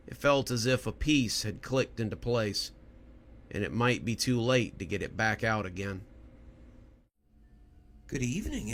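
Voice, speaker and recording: A man hosts and narrates spooky stories. His voice is mid-pitched with lively, wide-swinging intonation, made for storytelling. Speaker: horror story narrator and channel host. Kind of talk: scripted horror storytelling narration.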